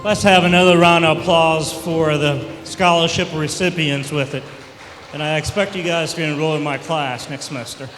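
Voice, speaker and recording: A man's voice: a little high, steady tone, normal speed. Man emceeing a ceremony in a big hall. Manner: upbeat, leads applause and moves to the next part. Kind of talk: live event hosting. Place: large indoor event hall. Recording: long hall reverb, music playing. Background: background music.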